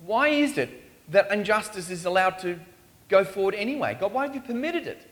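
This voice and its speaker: Male preacher in a church, earnest and pleading, voice a bit on the high side. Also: expressive, fairly quick, fairly bright tone. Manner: earnest, rising to pleading questions. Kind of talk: sermon. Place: church in Australia.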